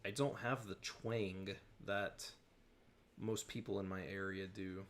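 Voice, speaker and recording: A man's voice: sits low, steady tone, takes it slowly, slight background noise. A man from the southern United States hosting a casual livestream Q&A, chatty and rambling, laughing often. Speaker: host.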